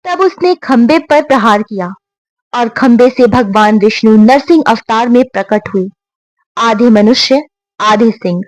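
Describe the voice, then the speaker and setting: Slow, high-voiced woman telling devotional tales. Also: animated, no echo, poor audio. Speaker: storyteller. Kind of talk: scripted devotional storytelling narration.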